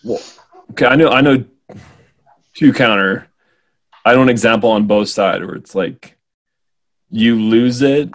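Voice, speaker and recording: A man's voice: medium pitch, a little slow, long pauses. A man in a casual conversation, reacting and chiming in. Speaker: podcast participant. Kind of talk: casual chat, interjecting.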